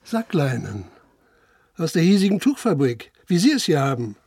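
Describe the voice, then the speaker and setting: A male actor in a German radio crime drama playing a dutiful local police officer, matter-of-fact and clipped, with a husky voice. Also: fairly high pitch, sing-song, normal speed, darkish tone, heavily acted. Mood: inquiring.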